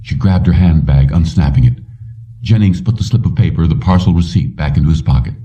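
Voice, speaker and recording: A man's voice: a bass voice, fairly quick, mellow. A man narrating a scripted audiobook story. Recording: slightly distant, dull lo-fi recording.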